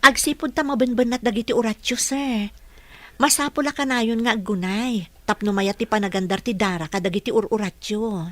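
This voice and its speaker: A woman playing a patient, dutiful caregiver in a radio drama, speaking politely and gently giving instructions. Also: fairly high pitch, steady tone, a little fast, dry recording, close to an everyday voice. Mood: encouraging. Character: patient, dutiful young female caregiver coaching a patient. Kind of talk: Ilocano radio drama acting.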